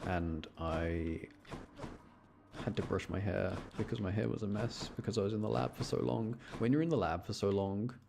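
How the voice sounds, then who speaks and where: A man's voice: lowish voice, normal speed, creaky, noisy background. A man chatting casually on a game livestream, rambling along and addressing his viewers. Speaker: streamer. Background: game music.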